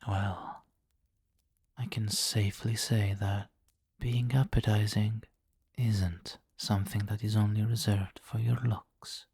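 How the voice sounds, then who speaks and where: A man playing a possessive, teasing vampire king in an intimate ASMR roleplay, commanding and breathy, often slipping into whispers on a close mic. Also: lowish voice, little pitch movement, slow pace, a little mellow, dry recording, exaggerated voice. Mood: commanding, hungry. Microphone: close microphone, dry.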